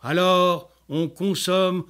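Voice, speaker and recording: A man reciting his own poem in a solemn, declamatory way with measured phrasing. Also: high voice, fairly even intonation, fairly bright tone, clear, resonant tone.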